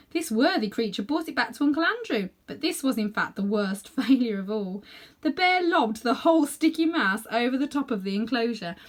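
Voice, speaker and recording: A woman reading a children's book aloud in an animated, dramatic way, sometimes softening toward hushed tones. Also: on the high side. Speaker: storybook reader. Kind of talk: expressive read-aloud narration for children.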